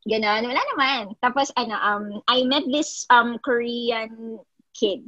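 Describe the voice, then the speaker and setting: A woman's voice: on the high side, steady tone, a little slow, a bit bright, dry recording, lo-fi sound. A woman in her twenties with a Filipino accent, chatting casually and switching between languages. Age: 20s. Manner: code-switching, chatty with fillers and laughs. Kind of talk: casual chat.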